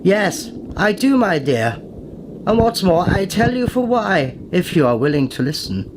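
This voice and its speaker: An actor playing a pompous aristocratic cult leader in a radio play, theatrical, old-fashioned and genially menacing. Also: male voice, sits high, big pitch swings, unhurried, background noise. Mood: grandly ominous. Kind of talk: period radio play acting.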